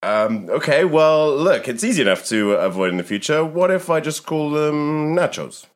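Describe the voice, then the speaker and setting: Guy acting a baffled cooking host, very lively pitch. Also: moderate pace. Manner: baffled, protesting. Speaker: cooking show presenter in a sketch. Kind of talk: comedy sketch acting.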